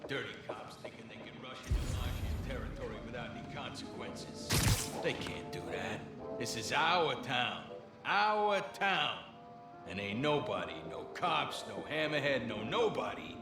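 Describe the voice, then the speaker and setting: A brooding, vengeful video game mobster. His repetitive rant swings widely in pitch. It sounds distant in an echoing room, with loud music. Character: menacing male mob thug, ranting, vengeful.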